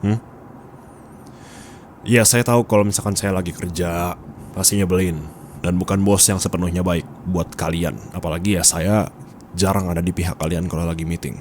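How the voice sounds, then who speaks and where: A man's voice: low voice, normal speed, pauses often, close to an everyday voice. A man playing a bossy, nagging office boss in an ASMR roleplay, scolding and teasing bluntly in colloquial speech with a Jakarta Indonesian accent. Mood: exasperated, then explaining. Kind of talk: intimate ASMR roleplay acting. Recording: steady background ambience noise.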